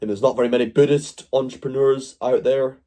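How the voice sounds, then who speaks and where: A man's voice: mid-range voice, fairly even intonation, fairly quick, warm tone. A man from Glasgow hosting a casual live stream, rambling, joking and swearing freely. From Glasgow, Scotland. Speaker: livestream host.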